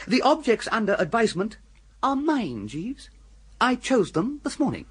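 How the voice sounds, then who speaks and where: An actor playing a flustered, foppish young English gentleman in a radio comedy, plummy, stammering and bumbling, with an upper-class accent. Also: sits high, huge pitch swings, moderate pace, slightly rough, heavily acted. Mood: defiant. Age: young adult. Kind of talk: radio comedy drama acting.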